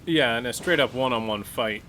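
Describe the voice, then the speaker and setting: Male tabletop player, middle register, thinks aloud in stops and starts over noticeable rumbling noise. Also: normal speed, sharp tone. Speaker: player in a tabletop roleplaying game. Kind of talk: casual, animated tabletop game chat.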